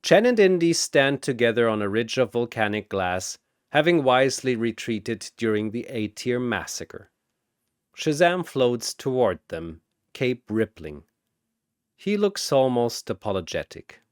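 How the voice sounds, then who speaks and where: A man's voice: mid-range voice, sing-song, unhurried, clear, resonant tone, dry recording, studio quality. A man reading scripted fantasy battle narration in a dramatic announcer style.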